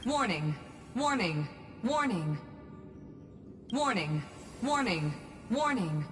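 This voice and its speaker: A woman's voice: medium pitch, big pitch swings, takes it slowly. A woman voicing an impersonal, insistent computer alarm in a video game, with clipped, repetitive announcements. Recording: echoey hall reverb, music underneath.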